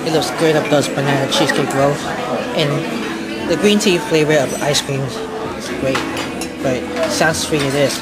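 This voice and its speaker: A woman vlogging from a restaurant table, slowly describing each dish item by item as she films it. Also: deep voice, over loud music. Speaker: food vlogger. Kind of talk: casual restaurant food vlog narration. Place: busy restaurant dining room. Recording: loud restaurant crowd behind. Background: loud crowd chatter.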